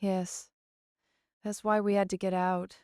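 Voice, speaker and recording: A woman's voice: mid-range voice, level tone, measured pace, darkish tone, clean tone, no room sound, studio quality, natural voice. A woman playing a weary, earnest runaway fugitive in an audio drama.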